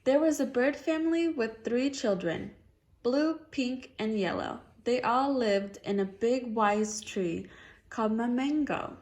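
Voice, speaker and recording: A woman's voice: on the high side, animated, a little slow, a little mellow. A woman reading a picture book aloud to a child, encouraging and often echoing answers back as questions. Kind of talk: dialogic storybook reading with questions.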